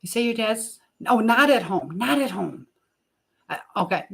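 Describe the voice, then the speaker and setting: A woman's voice: on the high side, lively intonation, unhurried, gravelly. A woman hosting a casual live chat from her kitchen, talking informally to viewers while she cooks. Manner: chatty, reads viewer messages aloud and questions them. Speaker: live stream host giving advice. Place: indoors in a kitchen. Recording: echoey room with background noise.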